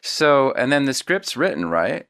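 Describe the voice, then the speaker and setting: A man's voice: medium pitch, sing-song, unhurried, fairly bright tone. A man hosting a casual interview, asking leading questions and teasing his guest. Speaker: podcast host.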